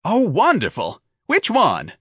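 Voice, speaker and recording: Man putting on an eager, high-pitched, flustered office manager. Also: sing-song, moderate pace, dry recording, clean studio sound. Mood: enthusiastic. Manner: bright, effusive, anxiously apologetic. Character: dapper, eager, flustered middle-aged male office manager.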